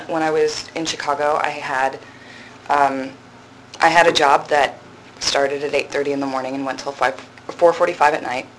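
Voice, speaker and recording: A young woman in her twenties with a low, resonant voice, a drama graduate student answering interview questions thoughtfully, with frequent pauses and restarts. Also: a bit bright, a little hiss or hum, poor audio. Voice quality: low voice with strong body resonance. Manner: thoughtful, hesitant, many fillers and restarts. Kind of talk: reflective conversational interview.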